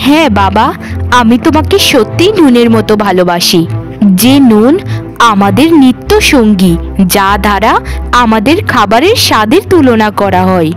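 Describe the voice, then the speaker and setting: A landlord's young daughter in a cartoon, played by a woman. Her voice is high and swings widely in pitch, with earnest, emphatic delivery over soft music. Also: expressive, moderate pace, close to an everyday voice. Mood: sincere. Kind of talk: dramatic cartoon voice acting.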